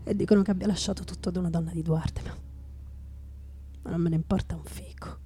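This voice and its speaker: A woman playing a hard-drinking male poet, bitter and sardonic, in a halting theatrical radio monologue that drops into whispers. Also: on the low side, steady tone, warm tone, lightly acted. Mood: hushed grief. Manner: halting, dramatic, repeats words, drops into whispers. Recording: music behind the voice.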